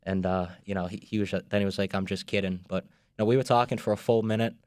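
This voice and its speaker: A man's voice: lowish voice, steady tone, fast talker, a little mellow, no room sound, clean studio sound. A young man with a Boston accent, a podcast guest telling a story with many ums and restarts. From Boston area. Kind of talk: casual podcast chat.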